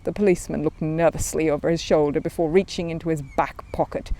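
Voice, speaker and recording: A woman storyteller narrating a children's audiobook in an expressive style. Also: deep voice, fast talker.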